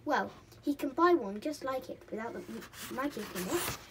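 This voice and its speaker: A woman's voice: sits high, lively intonation, normal speed, noisy background. A woman voicing a clever, mischievous male pixie in a children's storybook. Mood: reassuring, scheming.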